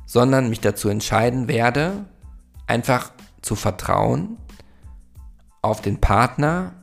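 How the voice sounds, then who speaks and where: A man's voice: medium pitch, expressive, slow pace, gravelly, faint music behind. A man in his forties from Germany hosting a solo podcast, talking in a reflective, rambling way with many fillers. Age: 40s. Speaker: podcast host.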